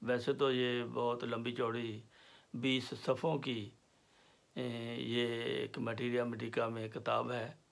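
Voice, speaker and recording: Slow, gravelly man explaining remedies. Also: medium pitch, level tone. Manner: slow and deliberate, with pauses and fillers. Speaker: homeopathy teacher.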